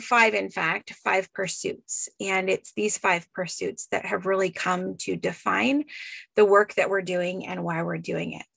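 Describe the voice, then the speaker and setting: A middle-aged woman presents informally to an online audience as a school librarian. Her voice is heavily creaky and husky, picked up in a dry indoor space. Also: mid-range voice, no room sound.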